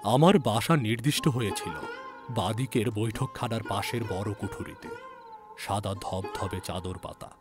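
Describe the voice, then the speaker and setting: Man playing a grave, slow-spoken old physician. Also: lowish voice, music in the background, lightly acted. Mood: solemn reminiscing. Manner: solemn, deliberate storytelling. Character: grave, touchy old village physician recounting his past. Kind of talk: dramatized ghost story narration.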